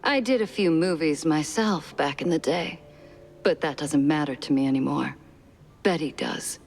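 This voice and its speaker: A woman playing a grave, mysterious female cartoon mouse client in a 1930s noir game, measured and sultry. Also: low-pitched, creaky, a little mellow. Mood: bitter, pleading.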